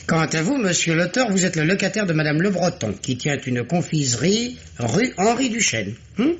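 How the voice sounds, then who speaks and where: A muffled, slightly hissy old radio recording of a deputy detective in a French mystery play. His mid-pitched voice swings widely as he needles and insinuates, mock-polite and stubbornly skeptical. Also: expressive, moderate pace. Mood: needling.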